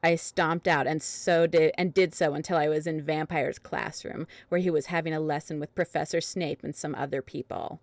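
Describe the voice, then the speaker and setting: A woman with a very low voice narrates fan fiction as a dramatic reading. The sound is dry and close, with no room around her. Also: fairly even intonation, moderate pace, few pauses, dry recording.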